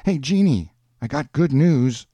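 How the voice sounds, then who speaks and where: A man's voice: a little high, animated, unhurried, dark tone, no echo, voice pushed up. A man voicing a lonely, earnest working-class man, coaxing and slangy, in a story reading.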